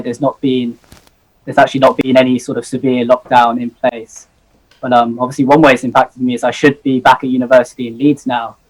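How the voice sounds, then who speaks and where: A man's voice: medium pitch, low-quality recording. A young man in his twenties from Hong Kong, a zoology student, joining a live interview by video call, earnest and eager with many ums. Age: 20s.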